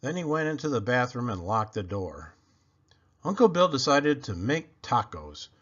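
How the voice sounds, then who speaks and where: A man reading a children's picture book aloud in an expressive, animated and deliberate storytelling manner. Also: medium pitch, dull audio.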